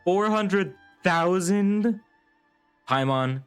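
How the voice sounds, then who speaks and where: A man streaming a game with casual, wry, deadpan commentary. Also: high-pitched, drawn-out words, clear, resonant tone. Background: faint game music.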